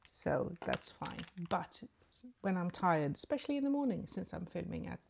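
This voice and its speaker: Low, gravelly woman chatting makeup. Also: very animated, moderate pace, noisy background. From Sweden. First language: Swedish. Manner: rambling and chatty, many ums. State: sleepy in the early morning. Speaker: beauty vlogger. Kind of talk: casual makeup tutorial chat.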